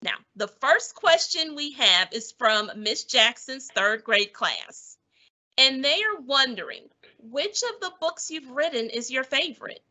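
A woman's voice: high voice, expressive, measured pace, sharp tone. A woman, around her thirties, hosting a live online event in an encouraging presenter's manner.

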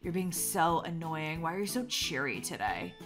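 A woman's voice: deep voice, very animated, moderate pace, a bit bright, faint music behind. A woman voicing a sullen, bored teenage cheerleader with a dismissive drawl in a cartoon skit. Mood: annoyed, bored.

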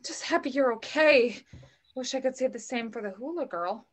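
A woman's voice: sits high, normal speed. A young woman reading the part of a protective, wry widowed mother in a play over a video call. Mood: tender, shaken. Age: young adult. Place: room at home. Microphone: computer mic on video call.

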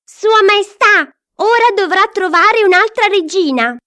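Woman doing excited, high-pitched fawning royal minister. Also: unhurried, exaggerated voice. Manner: excited, exclaiming. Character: eager, fawning royal ministers, male courtiers.

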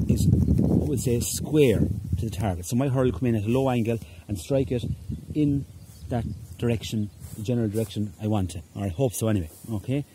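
A middle-aged man from Ireland who coaches hurling and gives a casual demonstration on a large rural lawn, talking in a relaxed way with frequent "okay?" checks and fillers. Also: medium pitch, very noisy. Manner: relaxed, repeats "okay?" often, hesitant fillers. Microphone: phone camera at ground level.

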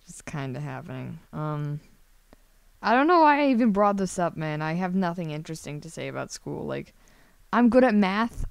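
A woman's voice: on the low side, no room sound. A young woman chatting casually on a drawing livestream, rambling hesitantly with frequent swearing and self-deprecation. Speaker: streamer. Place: indoors at a computer, screen-share livestream.

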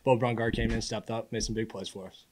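A man in his early twenties, a college quarterback, answering press questions in a casual, earnest way with halting repeated words. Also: on the low side, flat delivery, slight background noise. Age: early 20s. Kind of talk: postgame press conference answers. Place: indoor press room at a podium. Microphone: podium microphone.

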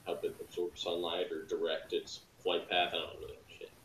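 Lowish voice guy, blunt, matter-of-fact, echoey room with a little hiss. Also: monotone, moderate pace, a bit bright. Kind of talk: casual chat. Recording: roomy, faint noise.

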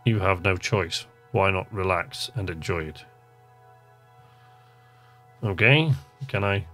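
A man with a fairly low voice chats casually as he plays a game. He muses to himself and reads text aloud in an easily amused manner, with music playing clearly behind him. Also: takes it slowly, bright, forceful tone. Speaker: gamer, video host. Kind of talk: casual gameplay commentary, reading text aloud.

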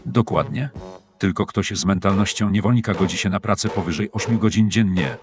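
A man voicing a calm, fatalistic, scheming handyman in a comic cartoon, speaking in a matter-of-fact deadpan. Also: low voice, a little fast, a little hiss or hum, natural voice. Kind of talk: dubbed comic cartoon dialogue in Polish. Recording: music playing behind the voice. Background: music.

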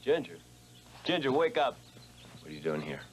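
An actor playing a guarded stunt driver in a TV-movie drama, terse and low-key. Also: male voice, sing-song, normal speed, lightly acted. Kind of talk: naturalistic TV-movie drama acting. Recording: film dialogue with background vehicle noise.

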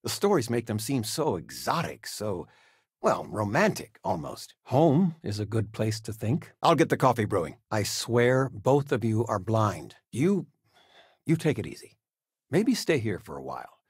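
An older man playing a warm, fussing retired-teacher father in a video game, with a hesitant, folksy, expressive manner. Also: fairly low pitch, a little slow, slightly rough, dry recording, clean studio sound, exaggerated voice. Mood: earnest, warm. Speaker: voice actor. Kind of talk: video game character dialogue.

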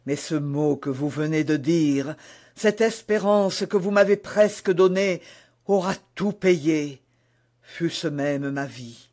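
A man's voice: on the high side, slow pace, darkish tone. A man reading the part of a passionate, melancholy young duke in love, ardent and pleading, in a historical romance audiobook. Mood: melancholy, tender.

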